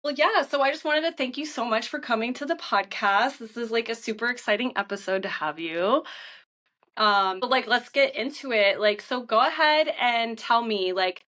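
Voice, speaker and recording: A woman's voice: fairly high pitch, normal speed, bright, forceful tone. A young woman hosting a podcast in casual chat, bubbly and informal, peppering her talk with "like". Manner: bubbly, informal, heavy "like" filler. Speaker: podcast host, interviewer.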